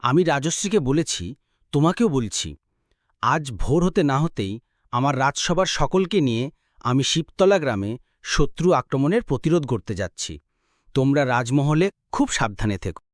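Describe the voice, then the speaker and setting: A man's voice: mid-range voice, expressive, normal speed, no room sound, studio quality, natural voice. A man voicing a protective, dutiful king in a cartoon story, formal and declamatory. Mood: solemn. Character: protective, dutiful king warning his family. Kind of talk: dramatic cartoon story acting.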